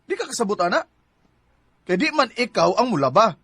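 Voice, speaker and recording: A man's voice: sits high, very animated, a little fast, a bit bright, slightly rough, no room sound, exaggerated voice. A man with a Cebuano Filipino accent playing a hurt, fed-up young boyfriend who complains plaintively in a radio drama. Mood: aggrieved. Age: young adult. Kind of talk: Bisaya radio drama acting.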